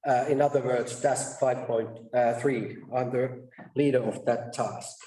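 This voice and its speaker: A man's voice: medium pitch, fairly even intonation, dark tone. Man presenting in an echoey hall. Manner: halting, pausing mid-sentence to restart. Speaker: conference presenter. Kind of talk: academic conference presentation. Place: conference session room. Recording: echoey room, distant mic.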